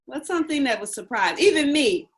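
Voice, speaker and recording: A woman's voice: high-pitched, animated, a little fast. A woman hosting an interview, asking questions and giving enthusiastic praise in a casual chat. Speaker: interview host.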